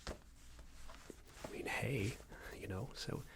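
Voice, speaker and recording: A man playing a smarmy, passive-aggressive company boss in a soft-spoken ASMR roleplay, hesitant and condescending, often half-whispered. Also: on the low side, very slow, exaggerated voice. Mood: defensive, smarmy. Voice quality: breathy, half-whispered, creaky. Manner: hesitant, hedging, condescending, rambling corporate small talk.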